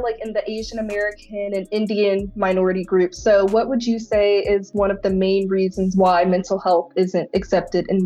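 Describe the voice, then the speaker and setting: A woman's voice: medium pitch, darkish tone, lo-fi sound. A young woman hosting a casual podcast, chatty and giggly, with lots of "like" in her talk. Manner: chatty, giggly, says like a lot. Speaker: podcast host.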